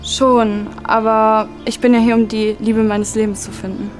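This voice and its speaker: Sweet young female contestant played by an actress, flattish intonation, fairly high, soft music behind. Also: normal speed, close to an everyday voice. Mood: dreamy, hopeful. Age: young adult. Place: outdoors by a car.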